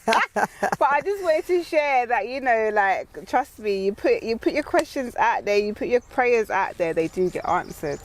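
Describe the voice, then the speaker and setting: A woman's voice: a little high, lively intonation, a little slow, a little hiss or hum. A woman with a London accent hosting a casual interview, speaking expressively and repeating phrases for emphasis. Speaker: interview host.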